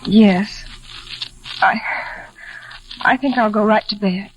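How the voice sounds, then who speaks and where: A woman playing a shy, gentle, easily hurt young woman in a hesitant, apologetic 1940s radio drama style. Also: mid-range voice, takes it slowly, a little hiss or hum, lightly acted. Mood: hurt, wistful. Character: shy, self-conscious plain young woman, gentle and wounded. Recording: dull vintage radio broadcast with music.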